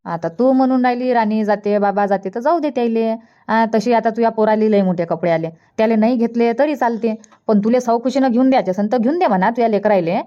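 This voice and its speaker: A woman's voice: on the high side, quick pace, mellow, close to an everyday voice. A woman voicing a thrifty, practical older village mother in a cartoon comedy, persistently advising in Vidarbha Marathi. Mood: firm, practical. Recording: dull lo-fi cartoon dub.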